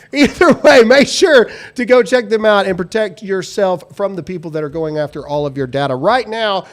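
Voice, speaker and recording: A man hosting a live talk show, joking loosely and reading sponsor spots with lots of "uh" fillers. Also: high-pitched. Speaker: host. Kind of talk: casual live show chat with sponsor reads.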